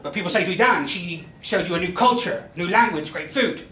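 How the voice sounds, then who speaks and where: A young man in his twenties with a West Country accent doing a self-deprecating stand-up comedy set with deadpan punchlines. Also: high-pitched, brisk. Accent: West Country English. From Ireland, raised in Spain. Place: comedy club stage. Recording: echoey club with crowd laughter. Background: audience laughing.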